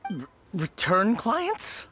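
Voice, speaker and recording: An actor playing a timid, doubtful and weary funeral parlor assistant with a hesitant, stammering manner in an animated game dub. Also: mid-range voice, extremely slow, exaggerated voice. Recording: music playing under the voice.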